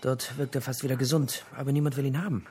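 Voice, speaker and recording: An actor playing an investigator in a naturalistic radio drama, laying out findings in a dry, clipped way. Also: male voice, mid-range voice, little pitch movement, quick pace, a little mellow, faint music behind, natural voice. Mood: brisk, grim recounting. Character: male investigator, dryly explaining his findings.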